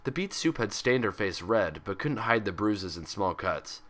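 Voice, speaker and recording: A man's voice: on the low side, animated, moderate pace, a bit bright. A man reading a story aloud as a scripted narration. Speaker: fan fiction reader and host.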